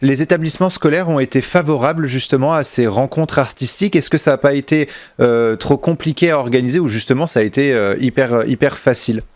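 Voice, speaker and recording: Male radio host, French from France. Medium pitch, very dry studio-like sound, halting questions with repeated words. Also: a little mellow, no room sound.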